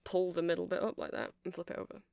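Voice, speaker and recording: A woman's voice: on the low side, level tone, quick pace, gravelly, noisy background. A woman giving a rambling, chatty ASMR demonstration, explaining things step by step. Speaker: ASMR creator.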